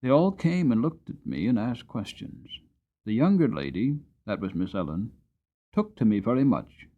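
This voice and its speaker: Expressive male storybook narrator, animated pitch. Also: moderate pace, phone-quality sound. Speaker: audiobook narrator. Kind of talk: dramatic storybook narration in first person.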